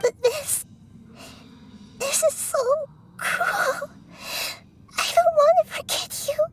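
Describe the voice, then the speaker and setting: A woman voicing a small, childlike girl goddess in a video game, earnest, tender and soft-spoken with a hesitant delivery. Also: extremely high pitch, takes it slowly, slight background noise. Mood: tearful pleading. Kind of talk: scripted video game cutscene acting.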